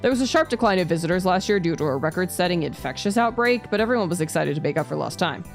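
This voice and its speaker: Lively, lowish-voiced woman streaming a game. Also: fast, faint music behind. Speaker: VTuber streamer. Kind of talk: reading visual novel narration aloud with casual reactions.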